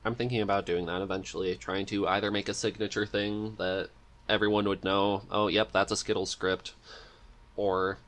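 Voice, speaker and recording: A man with a fairly low voice hosts a relaxed podcast conversation. He talks casually and jokingly, with a giggly streak. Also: normal speed. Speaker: podcast host. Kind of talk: casual interview chat.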